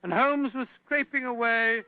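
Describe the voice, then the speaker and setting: A genial, bumbling old English doctor. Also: male voice, very high-pitched, a little slow, clear, resonant tone. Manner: warm, bumbling, chuckling. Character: older male English doctor, genial and affable. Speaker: narrator. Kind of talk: 1940s radio acting. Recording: dry tinny old radio broadcast.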